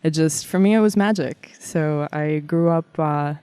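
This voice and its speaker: A woman in her thirties from Kazakhstan, telling animated stories about her childhood as a guest in an interview. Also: low voice, measured pace, clean tone, no room sound. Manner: warm, animated storyteller with frequent laughs and fillers. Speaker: guest artist. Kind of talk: casual interview storytelling.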